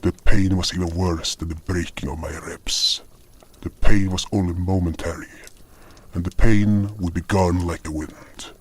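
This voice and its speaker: A man with a low, deep voice narrates a fantasy audio drama in the first person. His delivery is scripted storytelling. The recording carries some noise. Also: fairly even intonation, measured pace. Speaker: narrator and voice actor.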